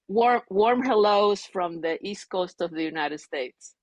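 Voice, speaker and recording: A woman's voice: medium pitch, no echo. A woman whose first language is Spanish, a Zen priest asking a question, searching for words with many fillers. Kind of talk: remote Q&A question.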